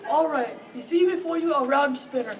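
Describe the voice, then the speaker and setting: A female reader playing a proud king who runs a contest, reading the script haltingly. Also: high voice, a little hiss or hum, lightly acted. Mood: commanding. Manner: halting reading with repeated words. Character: proud male king running a contest of wits. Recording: distant mic, audience chatter.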